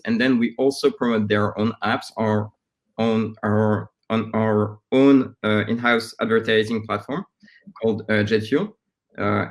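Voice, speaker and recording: A man in his thirties from France with a French accent, giving halting, conversational answers as a startup founder being interviewed. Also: on the low side. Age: 30s.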